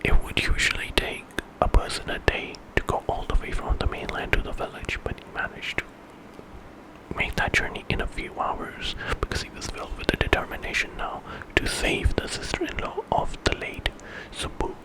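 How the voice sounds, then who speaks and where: A man telling a bedtime story in ASMR style, in a breathy whisper and an informal, conversational way. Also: moderate pace, poor audio. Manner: informal retelling with quoted dialogue.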